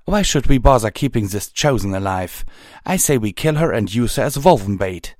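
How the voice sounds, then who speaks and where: A man's voice: on the low side, animated, moderate pace, no room sound, clean studio sound, voice pushed up, lightly acted. A man voicing an angry, hostile female unicorn officer in a storybook, with a harsh, impatient delivery. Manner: shouting, harsh.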